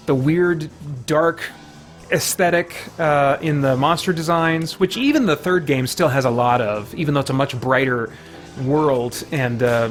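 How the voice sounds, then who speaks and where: A man hosting a video game livestream with casual, rambling commentary, breaking off mid-thought to react to the game and chat. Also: on the high side.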